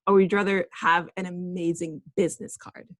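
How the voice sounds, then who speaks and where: A young woman hosting a casual interview, upbeat and quick to laugh. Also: on the low side, little pitch movement, no echo. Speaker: podcast host.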